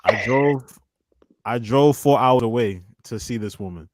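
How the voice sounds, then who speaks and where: A man's voice: mid-range voice, measured pace, noisy background. A man in his twenties chatting casually as a guest on a panel, in informal, slangy speech. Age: 20s. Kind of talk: casual chat.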